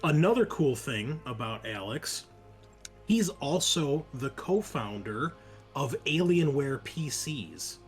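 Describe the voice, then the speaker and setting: Male host, medium pitch, casual show intro, chatty and informal, background music. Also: very animated, slow. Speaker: podcast host.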